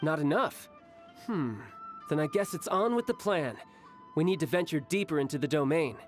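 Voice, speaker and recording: A man's voice: fairly high pitch, animated, moderate pace, over loud music, lightly acted. A man playing a cocky, battle-loving young warrior, breezy, teasing and self-assured, in an anime game.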